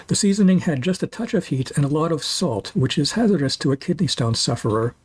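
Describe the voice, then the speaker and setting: Husky-voiced man in his sixties narrating. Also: on the high side, lively intonation, a little mellow. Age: 60s. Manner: dry, wry, deliberate reading. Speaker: food and walking blogger. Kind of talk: scripted voice-over narration. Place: voice-over, not recorded on location.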